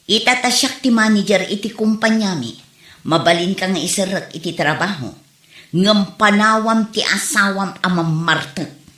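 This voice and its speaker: A woman's voice: mid-range voice, moderate pace, fairly bright tone, close to an everyday voice. A woman acting a practical older relative, kind but bluntly disapproving, in a comedy drama recorded in an echoey room. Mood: stern, disapproving.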